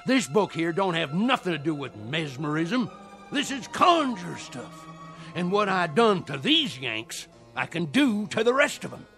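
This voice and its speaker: A man's voice: sits high, sing-song, unhurried, fairly bright tone, soft background music, full character voice. An actor playing a fervent old Southern conjure man in a radio play, with a folksy, theatrical rustic way of talking. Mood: fierce, boastful. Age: older. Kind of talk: dramatic radio play acting.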